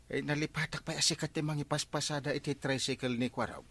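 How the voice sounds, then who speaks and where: A man's voice: fairly high pitch, steady tone, fast talker, fairly bright tone, slightly rough. A man with an Ilocano accent acting a mischievous, blustering village captain in a comedy drama, full of exclamations. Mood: jolly, flirtatious. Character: village captain, mischievous pleasure-seeking comic husband.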